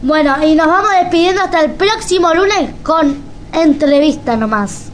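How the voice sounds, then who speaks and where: A woman's voice: high voice, lively intonation, normal speed. A woman with an Argentine accent hosting a radio show in a casual way. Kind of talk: casual radio hosting, reading aloud and signing off. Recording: faint background noise.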